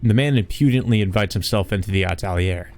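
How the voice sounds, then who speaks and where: A man's voice: lowish voice, quick pace, a little mellow, soft background music. A man hosting a let's play, reading visual novel narration aloud and commenting casually.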